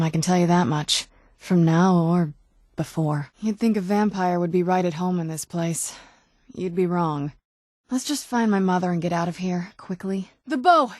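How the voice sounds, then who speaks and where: A woman's voice: on the low side, darkish tone, no echo, clean studio sound, lightly acted. A woman playing a young, guarded vampire noblewoman in a video game, with a wry, earnest delivery. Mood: somber, then urgent shouting. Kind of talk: video game character dialogue.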